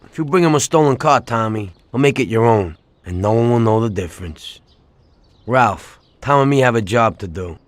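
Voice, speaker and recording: An actor playing a chatty, cocky working-class 1930s American gangster in a video game. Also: male voice, medium pitch, lively intonation, normal speed, no echo, natural voice. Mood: breezy, friendly.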